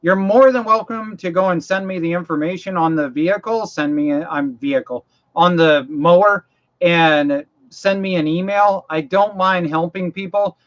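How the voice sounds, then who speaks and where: A man's voice: high-pitched, moderate pace. A man from Maine hosting a casual livestream, rambling and folksy as he answers viewers' mower-repair questions, his voice getting tired. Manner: rambling, folksy, repeats himself and restarts phrases; practical, walks viewers through mower repairs. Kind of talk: casual live chat. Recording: dull lo-fi stream audio.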